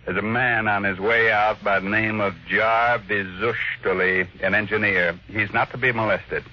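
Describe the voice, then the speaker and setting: An actor playing a ruthless, imperious older millionaire in a 1950s radio drama, brusque and commanding. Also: male voice, lowish voice, steady tone, moderate pace, noisy background, close to an everyday voice. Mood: cool, unruffled. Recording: lo-fi old radio broadcast.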